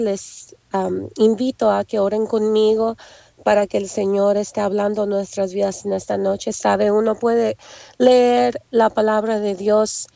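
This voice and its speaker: A hoarse woman preaching over a phone line as a guest speaker, humble, saying amen often and filling pauses with "am.". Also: medium pitch, mellow, no echo. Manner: humble, many "am" fillers, frequent amens. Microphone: phone call.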